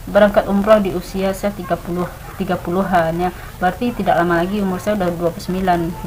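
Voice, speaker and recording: Woman in her late 20s, home vlogger, hesitant and filler-heavy, slightly low voice, faint hiss. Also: darkish tone, poor audio. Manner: hesitant, many "eh" fillers and restarts. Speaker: vlogger, stay-at-home mother. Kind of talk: casual talk to camera, practicing storytelling.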